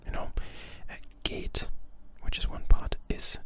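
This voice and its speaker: A German-accented native German speaker teaching a language lesson in English, speaking in a soft, patient whisper throughout. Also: a little slow.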